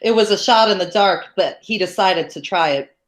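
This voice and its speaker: A woman narrating a novel in a steady, measured read-aloud. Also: medium pitch. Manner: steady, even, measured reading. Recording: roomy echo.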